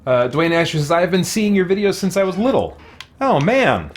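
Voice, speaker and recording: A man's voice: high-pitched, sing-song, moderate pace. Rambling casual male game streamer. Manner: informal, rambling, thinking aloud. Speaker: game streamer and YouTuber, host. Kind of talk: casual livestream commentary while gaming, reading and answering chat.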